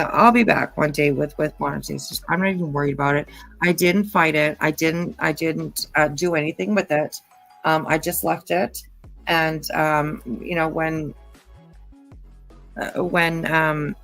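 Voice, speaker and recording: A woman hosting her channel, chatting casually and haltingly, with many ums and restarts. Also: low voice, gravelly, a bit bright, poor audio. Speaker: channel host. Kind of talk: casual commentary chat.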